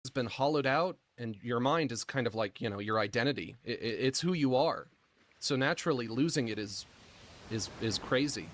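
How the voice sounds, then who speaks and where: A man speaking haltingly with a squeaky, mucus-filled voice and shortness of breath, often slowing down mid-sentence, with dry self-mocking humor. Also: lively intonation. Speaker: video creator describing his illness. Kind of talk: personal vlog monologue.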